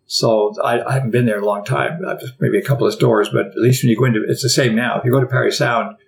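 An older Canadian man being interviewed, rambling and halting with frequent restarts. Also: mid-range voice, steady tone, vocal fry. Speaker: podcast guest. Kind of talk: casual interview conversation.

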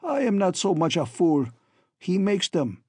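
A man's voice: sits high, big pitch swings, measured pace, a little mellow, breathy edge, dry recording, studio quality, pitched above the performer's own voice, full character voice. A man voicing a falsely friendly, scheming hunchback villain in a story, oily and ironic, with stilted foreign-sounding phrasing. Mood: scornful, insistent.

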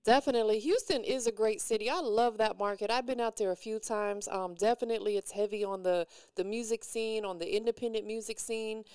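A woman's voice: fairly high pitch, a little fast, few pauses, a little mellow, clear, resonant tone, no room sound, studio quality. A woman hosting a casual hip-hop radio interview, slangy and encouraging with her guest. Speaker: radio host interviewing a musician.

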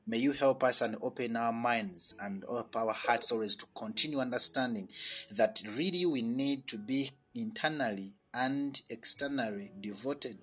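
Earnest husky-voiced male host. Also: mid-range voice, pushed and bright. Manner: earnest, slow and emphatic, with swells in volume. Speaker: radio show host. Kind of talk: preaching and prayer, then hosting a chat.

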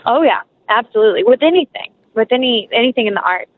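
A woman's voice: fairly high pitch, lively intonation, fast, no room sound, phone-quality sound. A young American woman chatting enthusiastically and casually as an interview guest. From North Carolina, United States.

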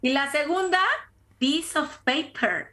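A Mexican woman co-hosting a podcast, telling lively anecdotes and laughing in casual chat. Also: high voice, slow pace, noisy background. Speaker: podcast co-host.

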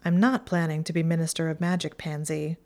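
A woman's voice: deep voice, level tone, fairly quick, a little mellow. Woman reading a dry, clever young witch.

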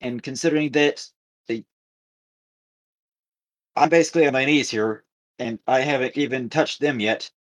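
A man co-hosting a podcast, telling a story casually with halting, repetitive, circling phrasing. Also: mid-range voice. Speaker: podcast co-host.

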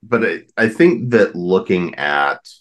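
Deep-voiced middle-aged male host. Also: expressive, takes it slowly, fairly bright tone. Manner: conversational, thoughtful questioner. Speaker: podcast host. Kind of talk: casual interview conversation.

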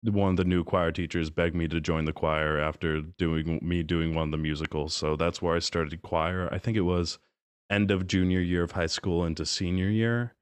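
A young man with a very low, deep speaking voice, talking loosely with lots of fillers. The sound is dry and close, with no room around it. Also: normal speed, clear, resonant tone, no room sound. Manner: relaxed, rambling with fillers. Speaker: bass singer in a group. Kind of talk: casual group chat.

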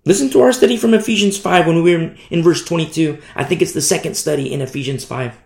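A man preaching a sermon in an emphatic, repetitive style, swinging from hushed to loud. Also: a little high, quick pace, creaky. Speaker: pastor. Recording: roomy echo.

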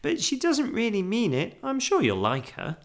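A man's voice: high-pitched, wildly swooping pitch, moderate pace, voice pushed up, full character voice. A man voicing a friendly, eager young girl in a children's storybook, kindly and reassuring.

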